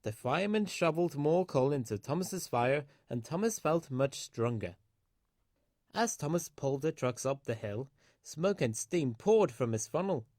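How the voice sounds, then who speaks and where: A man's voice: fairly high pitch, lively intonation, moderate pace, darkish tone, no echo, clean studio sound. A man reading a children's story aloud as scripted narration.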